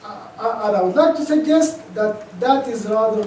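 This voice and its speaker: Halting Sudanese-accented man lecturing. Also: high-pitched, lively intonation, darkish tone, slight background noise. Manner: thoughtful, halting, many restarts and fillers. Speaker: guest lecturer. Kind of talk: academic lecture. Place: lecture auditorium. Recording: echoey auditorium, mic at a distance.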